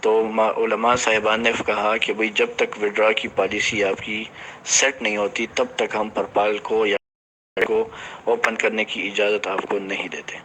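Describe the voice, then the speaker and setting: A man gives an informal, explanatory announcement to his team as a company director, halting at times and mixing in English business terms. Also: on the low side, level tone, a bit bright, a little hiss or hum, low-quality recording.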